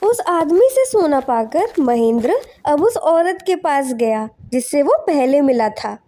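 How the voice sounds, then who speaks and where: A woman's voice: sits high, big pitch swings, unhurried, mellow. A woman narrating a scripted moral tale in storybook style.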